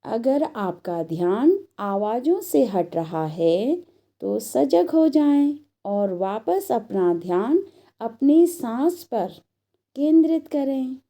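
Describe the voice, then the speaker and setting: A woman teacher in a scripted storytelling lesson for children, speaking in a deliberate, instructional manner and addressing the children directly. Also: on the high side, big pitch swings, dark tone.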